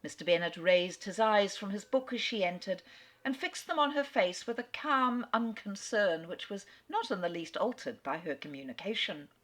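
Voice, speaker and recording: A woman giving an enthusiastic, expressive talk on a classic author, narrating like a storyteller. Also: mid-range voice, moderate pace. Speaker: literary speaker. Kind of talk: lecture narration.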